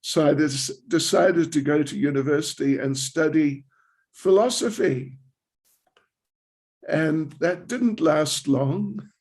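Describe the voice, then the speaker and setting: An older man in his seventies from New Zealand speaks slowly in a relaxed, rambling way, giving a spiritual class with self-deprecating asides. His voice has a gravelly edge, and he takes his time between phrases. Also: fairly high pitch. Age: 70s. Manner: slow, rambling, self-deprecating with light laughs. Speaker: visiting swami giving a class.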